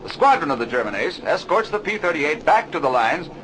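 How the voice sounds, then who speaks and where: Fast, high-voiced film director. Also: male voice, very animated, fairly bright tone. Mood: brisk, instructive. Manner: formal, precise, upbeat. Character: brisk, confident male film director. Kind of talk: old-time radio adventure serial acting. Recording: noisy old radio recording with engine drone.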